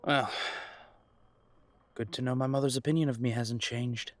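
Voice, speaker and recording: A man acts out an estranged werewolf son in an audio roleplay. His voice is mid-pitched and recorded very dry. He sounds guarded, hurt and weary, with biting sarcasm. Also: steady tone, normal speed, no room sound, close to an everyday voice. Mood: sarcastic, defiant. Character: bitter, wounded adult werewolf son confronting his parents. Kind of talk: audio roleplay drama acting.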